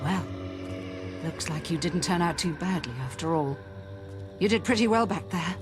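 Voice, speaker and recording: A woman plays a knowing guide in a fantasy game. Her low voice is earnest and urgent, with music clearly behind it. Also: expressive, moderate pace, close to an everyday voice. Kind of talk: video game drama acting.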